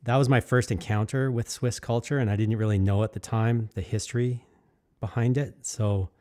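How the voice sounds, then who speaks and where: A man's voice: fairly low pitch, fairly even intonation, moderate pace, a little mellow. A man narrating his own work in an easygoing, rambling way, candid and enthusiastic, with many fillers and restarts. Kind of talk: casual reflective voice-over.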